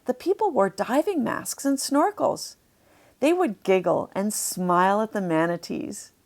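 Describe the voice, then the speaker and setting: A woman narrating a children's storybook, deliberately explaining things to young listeners as she reads. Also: mid-range voice, lively intonation, creaky, a little mellow.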